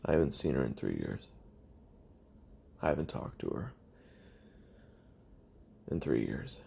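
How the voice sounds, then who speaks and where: A man playing a weary, lonely young retired assassin who makes a halting, brooding confession on tape in an audio roleplay, with a gravelly, husky voice. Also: extremely low pitch, big pitch swings, darkish tone, a little hiss or hum, exaggerated voice. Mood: wistful, resigned. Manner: halting, brooding, trailing off with sighs and pauses.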